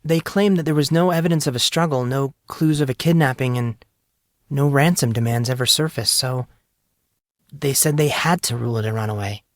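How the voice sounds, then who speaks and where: A soft, earnest young woman tells a sad story in a raised, gentle voice, as a male narrator puts her on. The sound is dry and close, with no room around it. She speaks in a heartfelt, confiding way. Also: medium pitch, brisk, no echo, voice pushed up, natural voice. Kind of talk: audiobook character reading.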